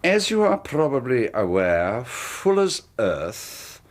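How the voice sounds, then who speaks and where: A secretive, menacing middle-aged colonel in a radio drama. His speech is smooth and persuasive, with a quiet threat under the courtesy. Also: medium pitch, big pitch swings, slow. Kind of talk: 1980s radio drama acting.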